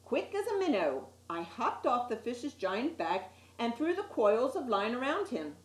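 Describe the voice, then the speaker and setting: A woman reading a children's picture book aloud with dramatic, deliberate emphasis on key words. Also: a little high, big pitch swings. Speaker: storytime reader. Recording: roomy, echoey sound.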